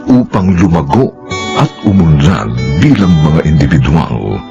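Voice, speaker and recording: Casting: solemn male announcer, slow and expressive, radio drama narration over clear music. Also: extremely low pitch, muffled recording. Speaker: narrator and director.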